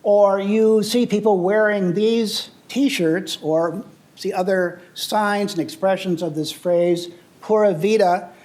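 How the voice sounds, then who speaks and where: An older man from the United States giving an earnest, explanatory public talk with slides, often pausing with "uh" and restarting phrases. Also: sits high, darkish tone. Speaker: presenter on travel and sustainability.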